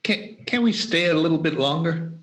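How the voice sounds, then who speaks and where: A man's voice: fairly high pitch, lively intonation, moderate pace, a bit bright. A man playing Scrooge, a fearful old miser. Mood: anxious. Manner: hesitant, pleading. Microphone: home video call mic.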